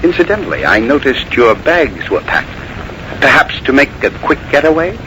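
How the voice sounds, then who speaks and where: An actor playing a slick, defensive husband under suspicion in a 1940s radio mystery, agitated and theatrical. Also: male voice, mid-range voice, big pitch swings, normal speed, sharp tone, low-quality recording. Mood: indignant, accusing. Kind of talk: 1940s radio drama acting. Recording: hissy 1940s radio recording.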